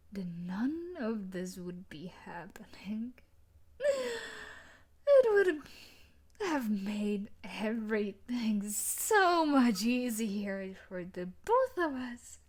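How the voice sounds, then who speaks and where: Woman acting an obsessive scientist, slow and menacing. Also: medium pitch, darkish tone, breathy edge, full character voice. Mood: quietly menacing. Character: obsessive possessive young woman scientist, menacing yet pleading. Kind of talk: audio roleplay acting addressed to the listener.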